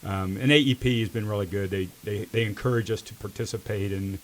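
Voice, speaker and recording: A middle-aged American man speaks in a lowish voice. He gives casual interview answers as a volunteer mentor, reflective and halting, with repeated words as he finds his way. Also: fairly even intonation, a little hiss or hum.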